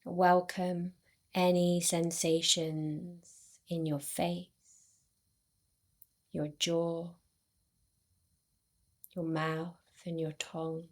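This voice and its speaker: A woman guiding a yoga nidra relaxation in a soothing, unhurried way, with long pauses between short phrases. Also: on the low side, flat delivery. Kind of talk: guided meditation.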